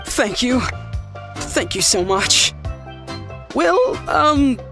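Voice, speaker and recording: A woman's voice: high voice, very animated, drawn-out words, music in the background, heavily acted. A woman playing a timid young swimmer in a fantasy game. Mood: sheepish. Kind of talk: animated fantasy game acting.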